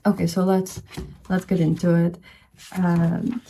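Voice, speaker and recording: A young woman from the Czech Republic with a Czech accent, talking casually about her reading plans. Her voice is low-pitched and very mellow. She rambles along with plenty of fillers and restarts. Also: flat delivery, measured pace, slight background noise. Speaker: book vlogger. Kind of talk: casual reading vlog chat. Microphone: camera showing only her hands.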